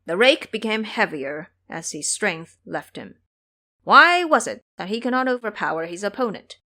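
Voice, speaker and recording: A woman's voice: mid-range voice, sing-song, normal speed, bright, forceful tone. A woman reading scripted storybook narration for an audio drama.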